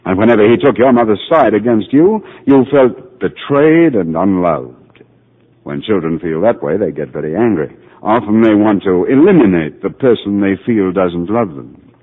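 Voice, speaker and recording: An actor playing a calm, patient middle-aged psychiatrist who narrates and gently explains things in a 1940s radio drama. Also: male voice, fairly low pitch. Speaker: narrator and doctor. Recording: tinny old radio broadcast.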